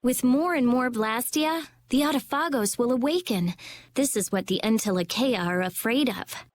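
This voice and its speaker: A woman's voice: on the high side, moderate pace, natural voice. A woman playing a brainy, intense, stubborn teenage girl mage in an anime-style video game. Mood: serious, explanatory.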